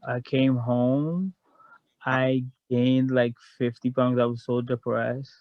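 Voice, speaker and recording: A man's voice: medium pitch, flat delivery, slow, no echo, highs cut off. A man from Guyana, a guest in a casual chat, telling a long story in a frank, rambling way.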